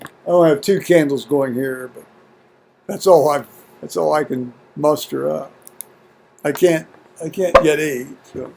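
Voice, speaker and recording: An American man video blogging at home in a halting, folksy, rambling way, muttering to himself and reading to viewers. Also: a little high, gravelly, a little mellow, slight background noise. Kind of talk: rambling casual chat with scripture reading. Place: at home, indoors.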